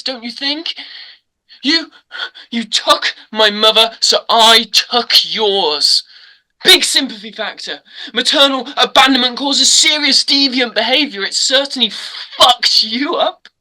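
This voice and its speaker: A woman acting an unhinged young male slasher killer in a script read, mocking and menacing, with halting, drawn-out taunts. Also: fairly high pitch, sharp tone, heavily acted, rough recording. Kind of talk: dramatic script table read.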